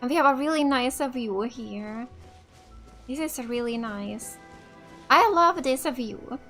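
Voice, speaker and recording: A woman from Finland with Finnish as her first language hosts a casual playthrough. Her voice is high and bright, and she talks at a slow pace, thinking aloud. Light music plays behind her. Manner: reacts aloud, thinks out loud. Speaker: gaming video host. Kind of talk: casual let's play commentary. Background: game music.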